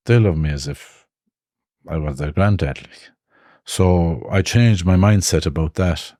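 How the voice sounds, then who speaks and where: Deep-voiced Irish man, reflective. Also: dark tone, no echo, studio quality. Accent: Irish, Connemara. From Ireland. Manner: reflective, halting, with frequent restarts. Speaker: guest. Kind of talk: personal life story in conversation.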